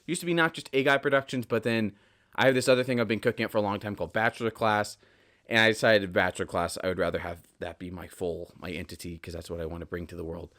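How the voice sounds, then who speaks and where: A man's voice: lowish voice, steady tone, fast, fairly bright tone. A man hosting a solo podcast in casual, rambling talk, often correcting himself and drifting into asides. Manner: self-correcting, digressive, conversational. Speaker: podcast host.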